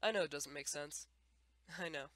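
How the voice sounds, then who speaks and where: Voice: mid-range voice, moderate pace, bright, forceful tone, close to an everyday voice. A performer voicing a tired, dry-humored male skeleton with a casual, hesitant manner and a bitter edge in a comic dub. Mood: sheepish, resigned. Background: music.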